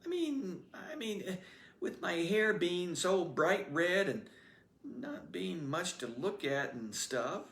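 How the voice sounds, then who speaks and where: A man's voice: high voice, very animated, takes it slowly, gravelly, fairly bright tone, recorded from a distance, voice pushed up, exaggerated voice. A man voicing a shy, bashful teenage boy who stammers and hesitates, read aloud in an echoey room.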